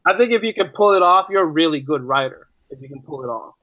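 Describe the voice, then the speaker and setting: Male podcast guest, lightly high voice, conversational, doubles back on phrases, muffled lo-fi sound. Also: lively intonation, quick pace, pushed and bright, dry recording. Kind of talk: casual interview chat.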